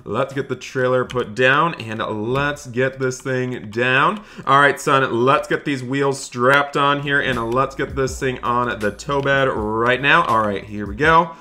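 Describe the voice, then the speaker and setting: A man narrating an improvised game roleplay as an excited, friendly dad, chatty and full of exclamations. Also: mid-range voice, unhurried, few pauses. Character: excited friendly dad shopping for project cars. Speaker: host of a gaming roleplay channel.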